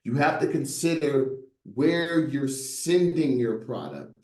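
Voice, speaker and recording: A man's voice: mid-range voice, takes it slowly, darkish tone, echoey room, rough recording. A middle-aged man in a casual interview, telling stories with lots of rhetorical "right?" asides and a playful tone. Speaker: guest, brand designer.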